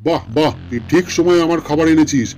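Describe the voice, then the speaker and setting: A man voicing a menacing, hungry lion king who talks bossily in a children's animated folk tale. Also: a little high, quick pace, slight background noise, natural voice. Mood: demanding, pleased. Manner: bossy, threatening.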